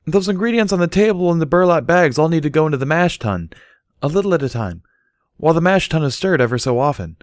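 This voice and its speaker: Man putting on a high, shouting cartoon pony mare. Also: expressive, fast, dry recording, studio quality, close to an everyday voice. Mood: loud, instructive. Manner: shouting, shrill falsetto. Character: excitable female cartoon pony brewer, loud and indignant.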